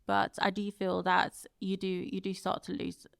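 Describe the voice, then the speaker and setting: A woman's voice: medium pitch, completely flat delivery, moderate pace, creaky, fairly bright tone, dry recording, clean studio sound. A woman in her thirties, born in Turkey and raised in the UK, speaking thoughtfully as an interview guest. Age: 30s. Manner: thoughtful, with frequent self-corrections. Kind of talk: reflective interview conversation.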